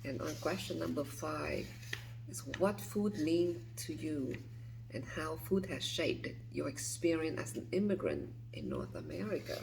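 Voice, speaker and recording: A woman in her fifties from Vietnam, speaking, answering a list of questions softly and hesitantly, often dropping to a whisper. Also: low voice, big pitch swings, a little slow, gravelly, a little hiss or hum, poor audio. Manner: soft, hesitant, with frequent restarts and whispered phrases. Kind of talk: reading and answering interview questions.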